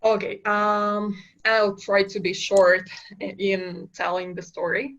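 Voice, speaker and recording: A woman's voice: medium pitch, completely flat delivery, slow pace, a bit bright, muffled recording. A young woman from Kazakhstan, a startup founder answering interview questions in a hesitant way with many fillers and restarts. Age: young adult. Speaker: startup founder, podcast guest.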